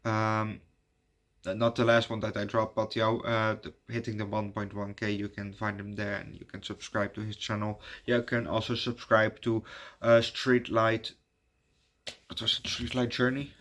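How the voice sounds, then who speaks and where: A Dutch man, native Dutch speaker, hosting his channel in halting casual chat full of fillers and restarts. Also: on the low side, completely flat delivery, fairly bright tone. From Netherlands. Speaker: YouTube reviewer giving shout-outs.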